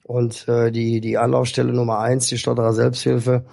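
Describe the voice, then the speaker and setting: A man speaking as a guest speech therapist, explaining things in a calm, instructive and measured way. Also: medium pitch, creaky, no room sound, clean studio sound. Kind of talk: explanatory interview talk.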